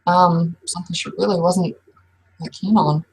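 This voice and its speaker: A woman running and playing a casual, improvised tabletop role-playing game, talking in a halting, informal way. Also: on the low side, fairly even intonation, husky, rough recording. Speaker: game master and player.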